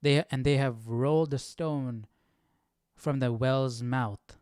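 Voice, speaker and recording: A man's voice: mid-range voice, steady tone, slow pace, a little mellow, clean tone, no echo, studio quality. A man hosting a livestream, informally thinking aloud as he comments on a Bible reading. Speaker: livestream host.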